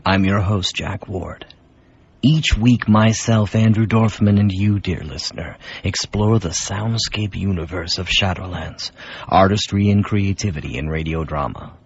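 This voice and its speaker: A man hosting a radio drama show, giving a scripted introduction in theatrical, deliberate phrases with a husky voice. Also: low-pitched, muffled recording.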